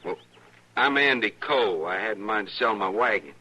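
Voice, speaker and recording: An actor playing a broke, hot-tempered, protective young husband in an old radio western, with folksy speech. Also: male voice, on the low side, expressive, normal speed, bright, forceful tone, husky, full character voice. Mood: hopeful, hesitant. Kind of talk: 1950s-60s radio western acting. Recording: noisy old radio recording.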